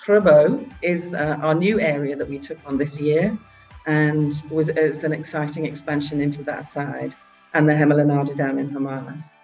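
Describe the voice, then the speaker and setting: Woman, halting presentation. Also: low voice, fairly even intonation, a little mellow, muffled recording, faint music behind. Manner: halting, with frequent filler sounds. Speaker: head of a charity organisation. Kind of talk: virtual meeting presentation.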